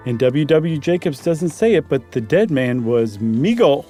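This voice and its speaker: A man's voice: a little high, lively intonation, measured pace, music in the background. A man co-hosting a podcast, narrating a spooky story and chatting casually. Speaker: podcast host.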